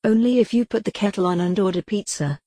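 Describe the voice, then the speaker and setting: A synthetic female text-to-speech voice playing a calm, wry retro computer who thinks she's a cat, deadpan in an audio comedy drama. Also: medium pitch, fast talker, no echo, studio quality, natural voice. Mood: dry, whimsical.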